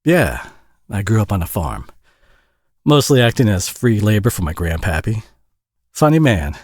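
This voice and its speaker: A man acts out a worn-out Southern US single dad in an intimate audio roleplay. His low voice swings in pitch as he stumbles and rambles, friendly, folksy and quick to put himself down. The sound is dry and close. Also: big pitch swings, no room sound. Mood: rambling, sheepish. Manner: folksy, rambling, stammering and self-deprecating.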